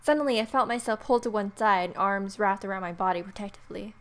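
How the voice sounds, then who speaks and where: A woman's voice: mid-range voice, quick pace. Wry woman reading a visual novel aloud. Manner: playful, wry, expressive reading. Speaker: gamer, video host. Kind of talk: let's play read-aloud with casual asides.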